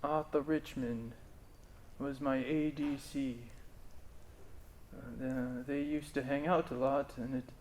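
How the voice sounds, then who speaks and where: A man in an amateur stage play acting a grieving, guilt-ridden old general with a halting, brooding delivery. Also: medium pitch, steady tone, a little mellow, slight background noise. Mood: brooding, resigned.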